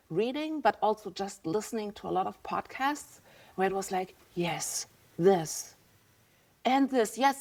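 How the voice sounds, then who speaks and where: A woman in her fifties with a German accent speaks as a podcast guest at a middle pitch. She talks candidly and reflectively. Her pitch rises and dips as she works through her thoughts. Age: 50s. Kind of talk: casual interview talk.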